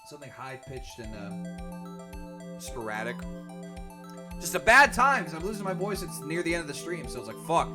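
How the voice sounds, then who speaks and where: A young woman streaming a game, hoarse and losing her voice, talking bluntly and swearing casually. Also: low voice, sing-song, normal speed, long pauses. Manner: blunt, swearing, self-deprecating. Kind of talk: live game commentary. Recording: stream audio over game music. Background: game music.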